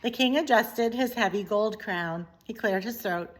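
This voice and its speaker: A woman reading a children's story aloud in an expressive, deliberate way with dramatic pauses. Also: medium pitch. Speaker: teacher reading a book aloud.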